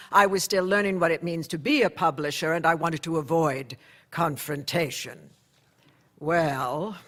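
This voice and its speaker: An actress playing an older woman newspaper publisher, narrating her memories in a radio play with a measured, reflective delivery. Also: fairly low pitch, fairly bright tone.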